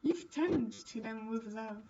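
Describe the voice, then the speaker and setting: A woman's voice: fairly high pitch, expressive, measured pace, dark tone, muffled recording. Woman voicing a gallant, kindly nobleman, slightly distant. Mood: gentle, gallant. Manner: gallant, reassuring. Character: courteous, kindly nobleman, a French Marquise. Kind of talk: visual novel character reading.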